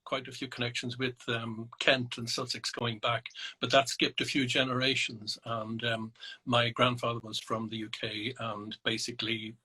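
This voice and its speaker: A middle-aged Irish man, a psychotherapist and naturalist, answering interview questions thoughtfully over a video call, with many pauses and restarts. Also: medium pitch, level tone, sharp tone, husky, poor audio. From Ireland.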